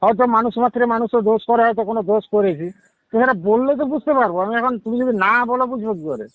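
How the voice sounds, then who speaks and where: High-pitched man on a tinny phone line. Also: very fast, no echo. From West Bengal, India. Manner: protesting, questioning. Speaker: prank call victim. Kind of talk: casual phone conversation. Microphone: telephone.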